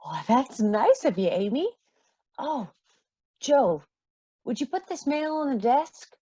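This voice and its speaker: Woman acting a caring middle-aged mother, radio drama, animated intonation, dry close sound. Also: sits high, slow pace, husky, dry recording, dull audio, heavily acted. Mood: warm, composed. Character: caring, generous mother, a singer struggling with money.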